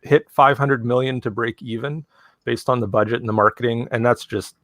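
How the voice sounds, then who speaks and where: A man in his forties co-hosting a casual chat, relaxed and rambling, often tagging "right?" on his thoughts. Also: medium pitch, a little fast, no echo. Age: 40s. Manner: relaxed, talks in long run-on thoughts with many "like" and "right?". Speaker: podcast co-host.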